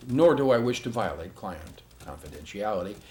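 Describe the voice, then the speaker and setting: A man acting as a guarded family attorney in a crime drama. His low voice rises and falls widely as he picks formal, careful words. Faint noise sits behind him. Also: sing-song, darkish tone. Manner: measured, formal, careful wording. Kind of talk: acted formal statement to investigator.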